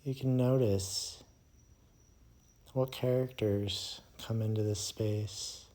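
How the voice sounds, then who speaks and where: Slow, low, mellow male meditation guide. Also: fairly even intonation. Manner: slow, soothing, hushed delivery with long pauses.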